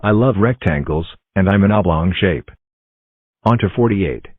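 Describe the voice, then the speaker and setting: Upbeat number-block cartoon characters voiced by a man, deep, on a tinny dry line. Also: animated, normal speed, no echo. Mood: cheery. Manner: chirpy self-introductions, plain everyday voice. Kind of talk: fan-made cartoon voicing. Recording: tinny phone-like dry recording.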